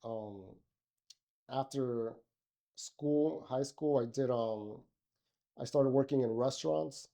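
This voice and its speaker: Man, middle-aged, from Miami, podcast guest, rambling casual talk, mid pitch, very dark tone. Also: slow pace, vocal fry, pauses often. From Miami, Florida. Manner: relaxed, many fillers and restarts. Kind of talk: casual interview conversation.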